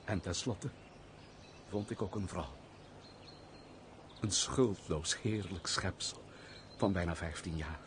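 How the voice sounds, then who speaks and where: A wounded, misanthropic nobleman in an old radio play. He speaks in formal, sorrowful phrases with a gruff edge, over faint background noise and outdoor sounds. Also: deep voice, takes it slowly, pauses often. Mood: wistful. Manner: formal, theatrical, sorrowful storytelling. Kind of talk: old radio drama acting.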